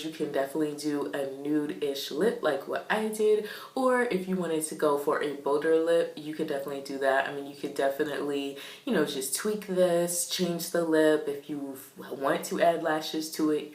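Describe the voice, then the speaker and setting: A young woman vlogger who is congested with a cold, sounding raspy and nasal as she chats through a makeup tutorial. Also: low voice. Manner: chatty and upbeat, frequent "um" and "super". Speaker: beauty vlogger. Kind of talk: casual makeup tutorial chat. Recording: echoey room.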